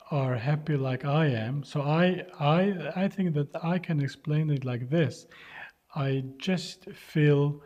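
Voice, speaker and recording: A man's voice: fairly high pitch, dark tone. A man from North Macedonia, answering interview questions earnestly and searching for English words. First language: Albanian. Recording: dull lo-fi sound.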